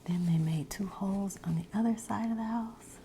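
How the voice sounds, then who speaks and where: A woman's voice: medium pitch, normal speed, slight background noise. Whispering woman reading a storybook. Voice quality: soft whisper. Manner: whispering, with asides and ad-libbed lines. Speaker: reader of a children's picture book.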